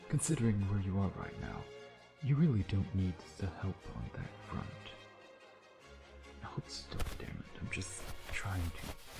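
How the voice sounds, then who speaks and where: A man's voice: low voice, creaky, heavily acted. A man playing a suave, predatory vampire in an intimate ASMR roleplay, taunting in a sardonic, flirtatious way with murmured asides. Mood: mocking, flirtatious. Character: suave, teasing, predatory male vampire, playfully menacing.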